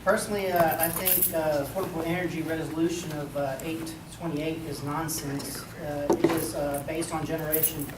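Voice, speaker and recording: A candidate at a public forum giving a blunt, halting answer full of fillers. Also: mid-range voice, steady tone. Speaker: council candidate. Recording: echoey hall, distant mic, noisy.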